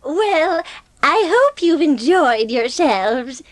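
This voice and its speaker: A woman playing a wealthy old aunt, gruffly affectionate and emphatic, in a dramatised audiobook. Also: high voice, very animated, no echo, heavily acted. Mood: fond. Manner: slow, emphatic, exclaiming.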